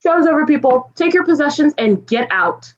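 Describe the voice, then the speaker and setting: A woman's voice: sits high, expressive, normal speed, muffled recording. A woman playing a bewildered, skeptical man in a staged comedy reading, speaking bluntly and with incredulity. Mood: exasperated. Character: exasperated, skeptical young man, bewildered listener William.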